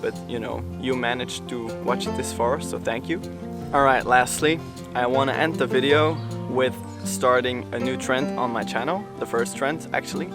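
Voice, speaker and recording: A man's voice: mid-range voice, moderate pace, over loud music. A man with a Dutch accent, a native Dutch speaker talking casually to the camera in a motorbike vlog, hesitant, with many fillers and restarts and a slightly awkward manner. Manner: self-conscious, a bit awkward. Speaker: motorcycle vlogger.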